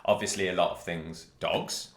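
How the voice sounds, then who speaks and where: Man, podcast co-host, hesitant and chatty, low pitch, echoey room. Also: fairly even intonation, normal speed, creaky, very noisy. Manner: chatty, hesitant with many ums. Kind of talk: casual podcast chat.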